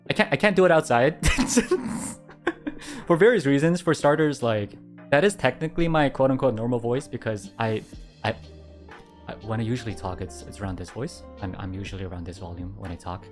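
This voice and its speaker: A young man streaming casual chat in a quiet voice that doesn't project, rambling, repeating himself and laughing often. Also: mid-range voice. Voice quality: quiet voice that does not project.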